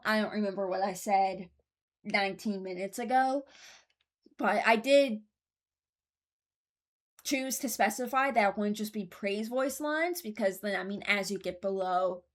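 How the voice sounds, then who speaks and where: A woman's voice: medium pitch, unhurried. A woman giving casual, rambling commentary as a host, thinking aloud as she goes. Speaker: host ranking game voice lines.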